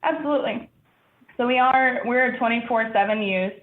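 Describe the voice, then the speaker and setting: A woman's voice: on the high side, brisk. Businesslike female company representative, assured public-hearing delivery, heavy room echo and narrow, tinny band. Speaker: applicant representative for a store chain. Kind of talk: presentation and answers at a public hearing. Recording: echoey room, thin band-limited sound.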